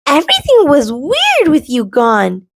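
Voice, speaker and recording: Woman putting on a squeaky, perky little acorn. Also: huge pitch swings, a little slow, full character voice. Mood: perky, surprised. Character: perky childlike acorn, chatty homebody. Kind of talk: children's storybook read-aloud.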